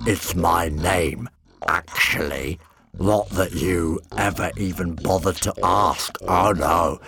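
A man voicing a huge, shaggy shadow monster in a storybook, with a gruff voice and a slow, sarcastic, aggrieved manner. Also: deep voice, pushed and bright, full character voice.

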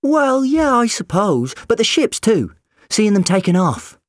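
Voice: mid-range voice, very animated, a little fast, no room sound, studio quality, pitched above the performer's own voice, lightly acted. A storyteller voicing an eager, stubborn young boy who dreams of space, in an audiobook. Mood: excited, determined.

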